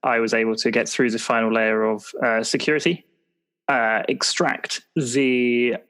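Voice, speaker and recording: A man's voice: mid-range voice, steady tone, measured pace, fairly bright tone, lightly acted. A man playing a dutiful field agent, giving a hesitant, formal report in an improvised audio roleplay. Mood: earnest, careful.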